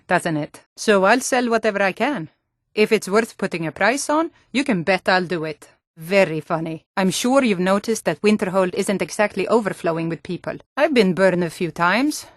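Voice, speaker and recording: A woman's voice: lowish voice, no echo, clean studio sound, natural voice. An actress playing a tough, plain-spoken, world-weary Nord townswoman in a fantasy video game. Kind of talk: video game character dialogue.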